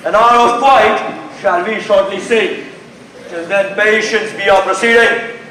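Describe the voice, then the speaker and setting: A man's voice: high-pitched, steady tone, a little slow, bright, forceful tone, a little hiss or hum. A young man playing a theatrical courtly character in a school stage Shakespeare tragedy. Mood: urgent. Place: indoor stage in a hall. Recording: very echoey hall, distant mic, faint music.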